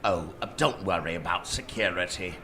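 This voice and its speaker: A man playing a gnarled, creepy desk clerk in a live radio comedy-horror, halting and obsequious. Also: low voice, animated, pushed and bright. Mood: creepy, pleased. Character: gnarled, creepy, slow-witted male hotel desk clerk. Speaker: actor. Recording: live stage recording, background noise.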